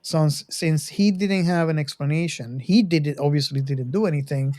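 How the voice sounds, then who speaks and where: A podcast host speaking casually in a halting way, often restarting sentences, while leading a film discussion. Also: mid-range voice, mellow, no room sound.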